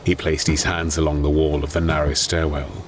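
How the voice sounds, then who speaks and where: A man narrates a scripted dramatic story. His voice is exceptionally deep, and his delivery is grave and deliberate. Music plays clearly behind him. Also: little pitch movement. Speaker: narrator and voice performer.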